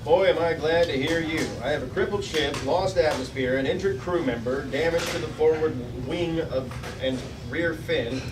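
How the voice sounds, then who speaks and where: A stern space ranger commander from a fifties-style serial, read by a man at a table read. His voice sits a little high and gives orders bluntly. It is caught from across an echoey, clattering restaurant. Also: normal speed, natural voice. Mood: businesslike. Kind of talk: 1950s space serial dialogue, read aloud. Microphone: distant camera microphone. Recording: echoey noisy restaurant, far from camera.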